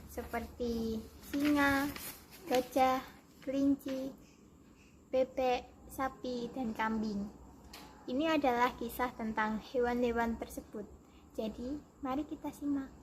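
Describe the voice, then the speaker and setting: A woman's voice: fairly high pitch, fairly even intonation, slow pace, pauses often, slight background noise. A young woman storyteller narrating a children's tale, addressing her listeners directly. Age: young adult.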